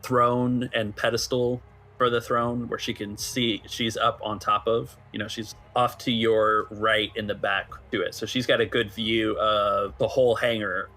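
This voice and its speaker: A man narrating a tabletop roleplaying game as game master, setting scenes in a halting, conversational way. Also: on the low side, little pitch movement, bright, forceful tone.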